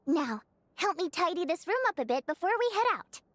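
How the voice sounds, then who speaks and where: An actress voicing an upbeat young woman who leads an underground group in a video game, speaking briskly and confidently as she lays out a plan. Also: extremely high pitch, very animated, fairly bright tone, no echo, exaggerated voice. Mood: scheming. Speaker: game character. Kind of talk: voiced video game dialogue.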